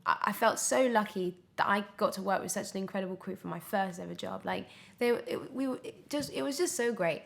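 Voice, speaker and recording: A woman's voice: medium pitch, fairly quick, creaky, hardly any pauses. A British woman in her twenties giving a casual podcast interview as a young actor, emphatic and often breaking off to restart her thought. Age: 20s.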